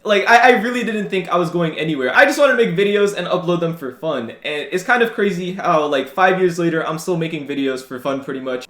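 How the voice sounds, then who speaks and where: A man's voice: a little high, a bit bright. A nineteen-year-old man vlogging casually as a YouTuber, rambling and candid with many fillers and restarts. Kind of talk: casual vlog commentary. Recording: roomy echo.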